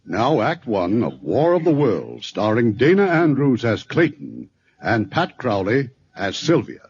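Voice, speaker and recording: A man's voice: mid-range voice, wildly swooping pitch, unhurried. Genial 1950s radio show host, a man. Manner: genial, wry showman's patter. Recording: muffled 1950s radio broadcast with faint hiss.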